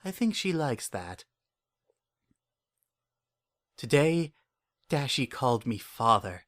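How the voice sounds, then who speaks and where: Man acting obsessive lonely dreamer, middle pitch, earnest confessional delivery, unsettling intensity, dramatic story narration. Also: sing-song, unhurried, fairly bright tone. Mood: tender, obsessive, then proud, adoring. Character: lonely, obsessive, delusional man confiding his private devotion. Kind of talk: first-person dramatic story reading.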